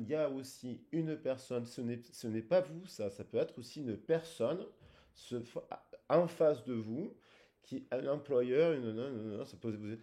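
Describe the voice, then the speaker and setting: A man giving a casual tarot reading, rambling with many pauses and fillers. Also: mid-range voice, mellow. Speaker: tarot reader.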